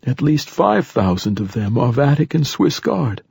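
Ancient male poet, played by a male narrator: raspy, weary yet insistent prophet, pitched well above the narration, for a science fiction audiobook. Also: sing-song, unhurried, darkish tone, dry recording, exaggerated voice. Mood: grave, insistent. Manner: tired, declamatory.

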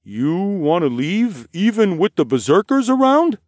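A man's voice: high-pitched, very animated, a little slow, a little mellow, dry recording, clean studio sound, pitched above the performer's own voice, exaggerated voice. A man voicing an uneasy, insistent town guard in an audiobook story. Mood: doubtful. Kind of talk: audiobook character dialogue.

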